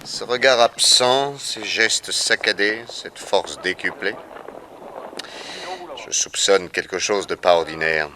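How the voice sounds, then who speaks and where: A suave detective man, deep voice, measured and probing, old noisy 60s radio drama. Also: bright, forceful tone, heavily acted. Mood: pensive, suspicious. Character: shrewd, composed private detective questioning witnesses and suspects. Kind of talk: 1960s French radio serial acting. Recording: noisy vintage 1960s radio recording.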